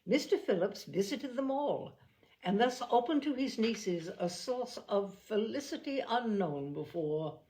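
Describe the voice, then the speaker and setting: A woman reading a classic novel aloud as an expressive storyteller. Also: on the high side, measured pace, slight background noise, low-quality recording. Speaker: audiobook narrator. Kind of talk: expressive literary storybook narration.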